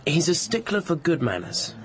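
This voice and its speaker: An actor playing a polite, loyal young secretary with a British accent in a radio drama. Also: male voice, fairly high pitch, wildly swooping pitch, moderate pace. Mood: polite, friendly. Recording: busy crowd noise behind.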